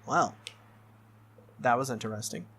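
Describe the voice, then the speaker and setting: A game playthrough host reading visual novel text aloud as expressive narration, with casual asides. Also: medium pitch, a little slow, husky.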